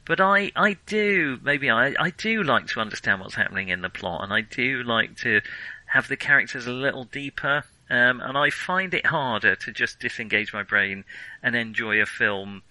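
Male co-host, sharp bright voice, dry recording, hesitant and self-correcting in casual film talk. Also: mid-range voice, expressive, normal speed, no echo, poor audio.

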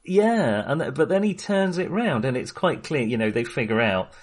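A man's voice: medium pitch, expressive, normal speed. A man talking casually as a podcast host discussing films, enthusiastic but hesitant and rambling as he goes.

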